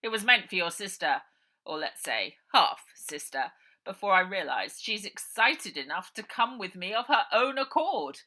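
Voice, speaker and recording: Woman acting a menacing, forceful villainous uncle. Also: mid-range voice, very animated, moderate pace. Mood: taunting, menacing. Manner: mock-polite, sneering, forceful. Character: menacing, contemptuous villainous uncle, cold and commanding.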